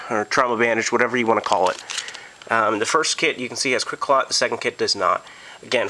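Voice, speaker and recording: A man's voice: fairly low pitch, bright, forceful tone, slight background noise, rough recording. A man getting over a cold, casually walking through his gear with lots of ums and uhs, recorded on a handheld camera in a carpeted room at home. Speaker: prepper vlogger.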